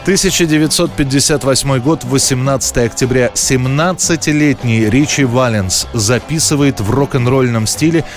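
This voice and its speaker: A man's voice: medium pitch, normal speed, hardly any pauses. A man reading scripted documentary narration as the narrator of a history programme. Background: faint background music.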